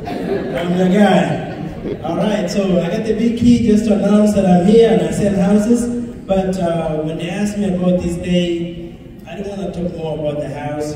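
A middle-aged man giving a motivational speech to a gathering over a PA microphone. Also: a little high. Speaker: community speaker and realtor. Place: large hotel banquet hall, indoors. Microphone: handheld mic over PA, recorded from the audience. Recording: echoey hall from far off, faint noise.

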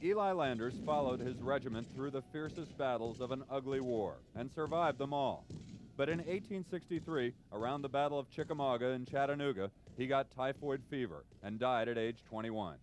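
A man delivers scripted narration for a television news feature. His voice sits at a medium pitch, in the measured register of a field reporter. There is faint noise behind him.

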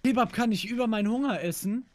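A man's voice: sits high, quick pace, darkish tone, dry recording. A man bantering casually as he plays a game, jokey and full of youthful slang. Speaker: gaming video co-player.

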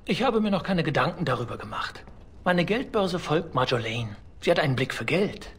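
A money-minded mercenary in a fantasy game. The voice is husky and animated in pitch, teasing and casually indifferent. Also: normal speed, heavily acted. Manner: teasing, nonchalant. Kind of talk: dramatic video game dialogue.

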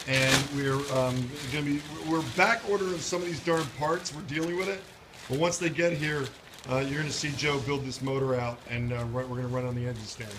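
A middle-aged man with a hoarse, fading voice, giving an enthusiastic, chatty update on a car project in his workshop, filmed on a handheld phone. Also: mid-range voice, steady tone, fairly quick, noisy background. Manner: enthusiastic, chatty, addresses viewers as guys. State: losing his voice. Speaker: restoration shop owner, vlog host. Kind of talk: casual shop-floor video update. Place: indoor car restoration workshop. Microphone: handheld phone camera.

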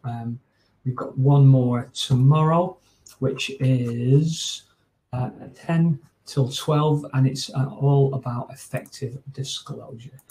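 A man's voice: medium pitch, fairly even intonation, slow pace, warm tone, a little hiss or hum, low-quality recording. A man in his forties from the north of England, with a Northern English accent, wrapping up a training webinar at home over a video call in a chatty, informal way with lots of fillers and restarts. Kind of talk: closing remarks of a training webinar. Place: small room at home. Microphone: video call webcam.

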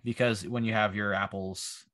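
A man's voice: on the low side, steady tone, normal speed, a bit bright, no echo. A young man co-hosting over a laptop video call from home, speaking loosely and hesitantly and letting his sentences trail off. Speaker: co-host. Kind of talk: casual video-call conversation. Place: home room, indoors. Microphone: laptop webcam on a video call.

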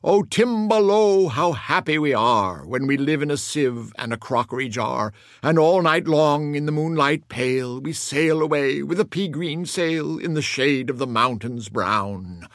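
A man's voice: a little high, expressive, measured pace, few pauses, no echo, studio quality. A man voicing carefree, exuberant seafaring creatures from a storybook poem, declaiming happily. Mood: blissful.

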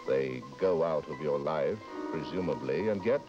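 Very deep-voiced, sinister horror host. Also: male voice, expressive, normal speed, over music. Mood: ominous, knowing. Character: sinister male horror host, slow and knowing. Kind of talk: old radio horror narration. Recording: muffled vintage broadcast recording.

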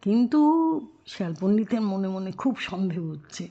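A woman telling a children's story expressively, with drawn-out emphasis and rhetorical questions. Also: mid-range voice, mellow, highs cut off.

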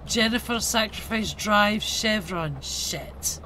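A woman streaming a game, reading commands aloud under her breath and cursing at the screen. She talks slowly and drifts between mutters and outbursts. A steady hiss of noise sits behind her. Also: medium pitch. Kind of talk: live gameplay commentary.

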